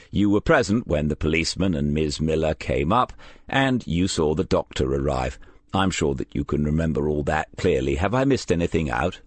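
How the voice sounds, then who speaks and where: A man voicing a friendly but quietly insistent English police detective in an audiobook, questioning in a measured, conversational way. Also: extremely low pitch, dry recording.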